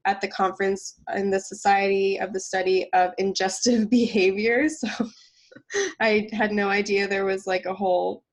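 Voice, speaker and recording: A woman co-hosts a casual nutrition podcast while stuffed up from a cold, her voice congested. She chats loosely with plenty of fillers and quick laughs, sitting at a middling pitch. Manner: chatty, laughs often, many fillers. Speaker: podcast co-host.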